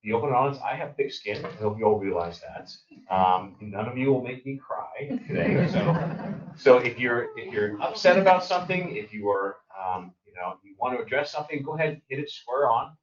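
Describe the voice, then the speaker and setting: A man serving as sheriff, speaking loudly and directly to a community meeting. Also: mid-range voice, lively intonation, moderate pace. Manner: loud, direct and plainspoken. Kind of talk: public meeting remarks. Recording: dull roomy sound from far off.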